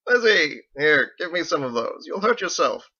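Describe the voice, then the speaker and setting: A man's voice: fairly high pitch, sing-song, moderate pace, bright, forceful tone, lo-fi sound, voice pushed up, full character voice. A man voicing a secretive older husband in an audiobook, outwardly fond, teasing and coaxing. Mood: fond, concerned. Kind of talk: audiobook character voice.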